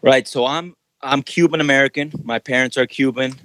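A man's voice: mid-range voice, fairly even intonation, fairly quick, a bit bright, no echo, rough recording. A young adult man from Miami, Florida, a basketball trainer, talking casually in a podcast interview and using a lot of "you know" fillers.

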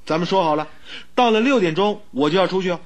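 An actor playing an anxious, loyal friend of a sick detective in a radio drama, speaking earnestly and fretfully. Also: male voice, high-pitched, fast talker, close to an everyday voice. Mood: exasperated.

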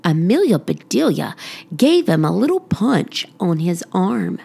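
A woman narrates a children's storybook in an expressive style. Her delivery is slow and very animated in pitch, with some creaky fry in the voice. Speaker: podcast storybook reader.

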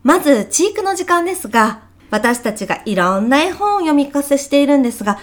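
A woman's voice: sits high, fairly quick. A woman playing a chirpy, polite but gossipy kindergarten teacher in a comedic radio drama. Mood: bright, polite. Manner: polite, effusive, animated. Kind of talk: comedic short radio drama, phone meeting.

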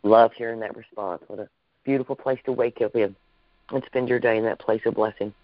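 A man's voice: medium pitch, steady tone, brisk, no echo. A man hosting a podcast interview, effusive and gushing, often repeating himself. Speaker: podcast host. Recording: dull lo-fi call audio.

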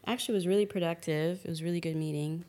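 A woman's voice: on the low side, fairly even intonation, very fast, darkish tone. A woman co-hosting a podcast, chatting casually and thinking aloud with fillers. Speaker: podcast co-host. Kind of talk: casual chat.

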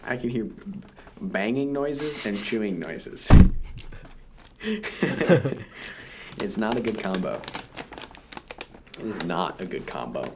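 A young man co-hosting a podcast, teasing and joking in casual banter, with a deep voice while a little sick. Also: sing-song, takes it slowly, pauses often, very noisy. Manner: teasing, joking, self-mocking. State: slightly sick. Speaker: podcast co-host.

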